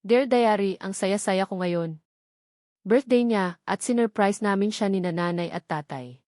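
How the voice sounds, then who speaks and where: A woman's voice: mid-range voice, measured pace, a little mellow, dry recording, lightly acted. Woman reading as a tender lovestruck young diarist, dry sound. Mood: giddy, affectionate. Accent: Filipino.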